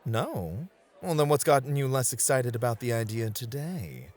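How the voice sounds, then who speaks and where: A man playing a suave, playful club host in a flirty ASMR roleplay, with smooth, teasing, theatrical hospitality. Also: medium pitch, sing-song, normal speed, darkish tone, a faint crowd. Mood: teasing, welcoming. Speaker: club host showing a guest around.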